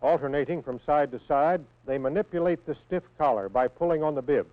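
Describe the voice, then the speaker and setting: A man's voice: a little high, no room sound. Tinny old training-film narrator, man. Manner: measured, precise, instructional. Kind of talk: scripted instructional narration. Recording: tinny band-limited old film soundtrack.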